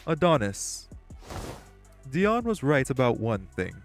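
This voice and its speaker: A man's voice: medium pitch, very animated, faint music behind. A man voicing a composed, condescending male crime leader, in an audio roleplay. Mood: cold. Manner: measured, condescending, clipped.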